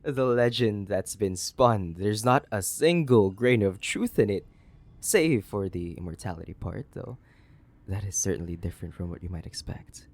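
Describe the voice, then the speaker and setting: A man playing a centuries-old, sadistic vampire in a theatrical horror audio roleplay, condescending and drawling, with a gravelly, breathy voice that slips into whispers. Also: on the low side, lively intonation, normal speed, a little mellow. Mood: amused, incredulous. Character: sadistic, centuries-old imprisoned male vampire, mocking and bored. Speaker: voice actor.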